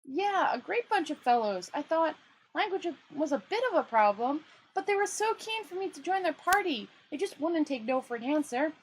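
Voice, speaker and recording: A woman's voice: high voice, sing-song, moderate pace, slight background noise, pitched above the performer's own voice, low-quality recording. A woman reading a storybook and voicing a naive, well-meaning male tourist who is earnest, chatty and plaintive. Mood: earnest, cheerful.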